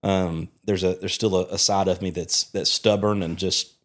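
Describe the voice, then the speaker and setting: Brief: male host, lowish voice, folksy storyteller. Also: fairly even intonation, moderate pace. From Alabama. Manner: folksy storyteller, chuckling asides. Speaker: podcast host, church chaplain. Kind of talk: casual interview.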